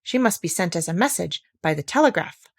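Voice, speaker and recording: A woman's voice: on the low side, animated, fairly quick, creaky, husky, exaggerated voice. A woman voicing pompous, fussy railway passengers with emphatic, singsong delivery for a storybook.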